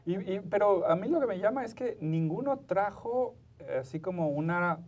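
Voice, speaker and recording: A man's voice: high voice, lively intonation, moderate pace, a little mellow, recorded from a distance, dull audio. A man with a Mexican accent hosting a casual panel chat in a studio, speaking in a colloquial, jokey way. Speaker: program host.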